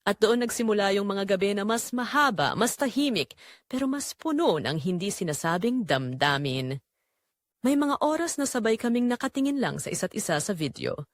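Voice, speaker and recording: A woman's voice: medium pitch, big pitch swings, moderate pace, no echo, clean studio sound. A woman narrating a first-person romantic drama in an intimate, dramatic way. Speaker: audio story narrator.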